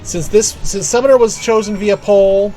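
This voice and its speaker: Male game streamer, higher-pitched voice, casual live commentary with light music underneath. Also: fairly even intonation, moderate pace, warm tone. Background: faint music.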